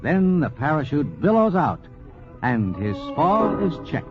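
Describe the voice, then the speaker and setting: A man narrating a scripted 1940s radio documentary with dramatic, deliberate emphasis. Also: fairly high pitch, very animated, over loud music. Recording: dull 1940s radio recording.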